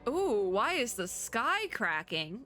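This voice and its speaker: A young adult woman with a bright, animated voice that moves a lot in pitch. She gives casual, chatty reaction commentary and thinks aloud, with music in the background. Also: slow pace. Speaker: VTuber reacting to game trailers.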